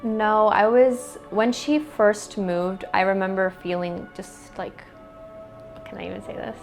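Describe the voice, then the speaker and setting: A woman's voice: mid-range voice, little pitch movement, unhurried, faint music behind. Young American woman, chatty and casual. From United States. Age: young adult. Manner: chatty, with frequent "like" fillers. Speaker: interviewee. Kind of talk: casual interview answers.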